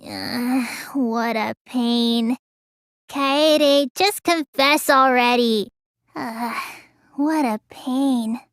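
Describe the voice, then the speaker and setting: A woman voicing a petulant, proud teenage girl mage for an English video game, with a childlike, listless manner that can turn excitable. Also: on the high side, extremely slow, no room sound, clean studio sound. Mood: listless, grumbling. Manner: childlike, listless drawl with sudden excitable bursts.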